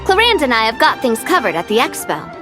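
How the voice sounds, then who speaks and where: A woman's voice: on the high side, sing-song, brisk, music in the background. A woman playing a kind, fair-minded young woman in a video game, articulate and reassuring. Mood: confident. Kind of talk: scripted video game voice acting.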